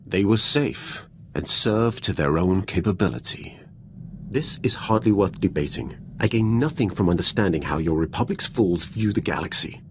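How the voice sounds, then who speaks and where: An actor voicing an ancient, disdainful male Sith lord in a video game, speaking in a measured, condescending way. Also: sits low, lively intonation, a little hiss or hum. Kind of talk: dramatic video game dialogue.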